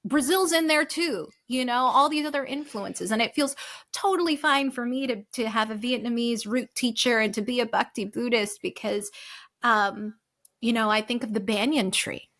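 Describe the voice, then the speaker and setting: Gravelly-voiced woman, chatty podcast guest. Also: fairly high pitch, normal speed. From United States. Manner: animated, many fillers and restarts. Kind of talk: reflective conversational interview.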